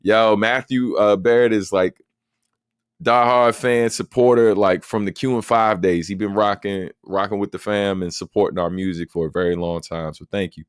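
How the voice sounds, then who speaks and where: A man with a deep voice hosting a casual livestream Q&A, answering viewer questions in a relaxed, talkative way with plenty of fillers. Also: normal speed, smooth, dry recording, studio quality. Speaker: host.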